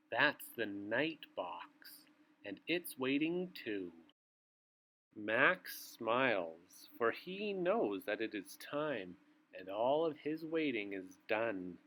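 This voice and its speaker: Animated, unhurried male children's storyteller. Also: medium pitch, low-quality recording. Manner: theatrical, drawn-out phrasing with questions to children. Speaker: storyteller reading a picture book aloud to young children. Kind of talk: expressive children's read-aloud with asides to the listeners.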